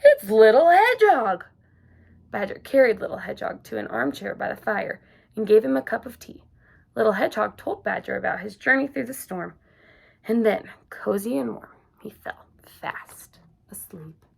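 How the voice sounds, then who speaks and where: Gravelly woman reading a children's story. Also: mid-range voice, moderate pace. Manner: gentle, expressive. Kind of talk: children's story read-aloud.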